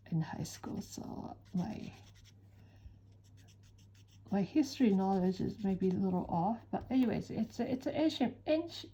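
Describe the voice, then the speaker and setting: A woman from western Japan with a Japanese accent, chatting in an unhurried, soft-spoken way with frequent pauses while she draws. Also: mid-range voice, slightly rough. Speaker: art instructor. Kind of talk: relaxed chat while drawing.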